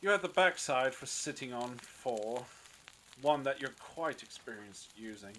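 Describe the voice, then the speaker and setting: A man's voice: mid-range voice, expressive, measured pace, a bit bright, poor audio. Man acting a bossy, sarcastic captive leader, faint hiss. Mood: sarcastic, curt. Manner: sarcastic, domineering. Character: bossy, suspicious, practical man stranded among captives. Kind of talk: fan audio drama acting.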